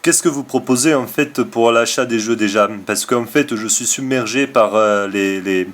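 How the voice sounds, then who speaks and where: A man's voice: medium pitch, moderate pace, a bit bright, close to an everyday voice. A man playing a broke, shady middle-aged father who sells off his son's games in a hesitant, wordy, confiding way on a prank call. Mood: flustered, polite. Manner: hesitant, wordy, falsely candid.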